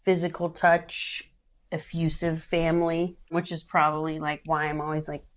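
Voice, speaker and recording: Soft-spoken woman in her thirties. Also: sits low, monotone, moderate pace. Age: 30s. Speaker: podcast guest, bookstore co-owner. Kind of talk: casual interview chat.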